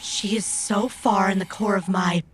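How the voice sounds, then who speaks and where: A woman playing a proud, loyal robot companion in a cyberpunk audio drama, speaking in formal, precise, measured phrases with a husky voice. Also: mid-range voice, steady tone, a little hiss or hum, natural voice. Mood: measured, offended.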